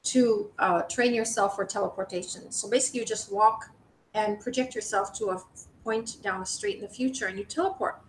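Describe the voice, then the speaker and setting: A woman giving an informal, rambling spiritual monologue to the public, speaking emphatically and indignantly with some swearing. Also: a little fast. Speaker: video creator speaking to the public. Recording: roomy echo, noisy low rumble.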